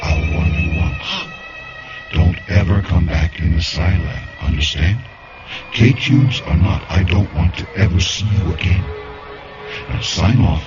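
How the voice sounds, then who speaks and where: A man's voice: deep voice, lively intonation, a little slow. An actor playing a bitter, menacing man in an alien body, commanding and threatening, in a vintage sci-fi radio drama. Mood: menacing rage. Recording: muffled old radio, loud music underneath.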